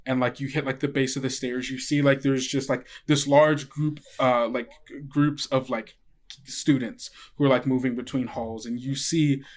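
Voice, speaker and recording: Man, mid-pitched voice, game master, improvised tabletop narration, casual, halting, colloquial. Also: level tone. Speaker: game master and podcast host.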